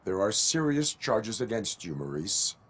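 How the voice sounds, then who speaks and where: A man playing a stoic cyborg police officer in a video game, speaking in a formal, measured, robotic deadpan. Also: lowish voice, heavily acted. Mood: stern, deadpan.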